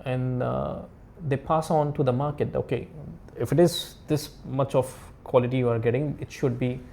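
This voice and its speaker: Gravelly-voiced man explaining as a guest expert. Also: mid-range voice, steady tone, mellow, a little hiss or hum. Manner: halting, repetitive, non-native English phrasing. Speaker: diamond expert, interview guest. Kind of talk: casual expert explanation in interview.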